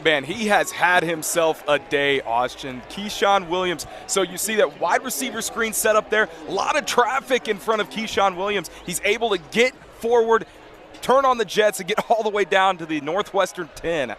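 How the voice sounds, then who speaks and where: A man's voice: high-pitched, normal speed, fairly bright tone. A man giving conversational, emphatic color analysis on a live football radio broadcast, repeating phrases as he explains.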